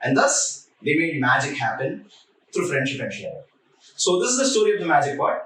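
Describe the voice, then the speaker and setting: Animated man storytelling in a very echoey room. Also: on the high side, a little fast, slight background noise. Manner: repeats phrases, conversational, explanatory. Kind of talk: oral storytelling for children. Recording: very echoey room, distant mic.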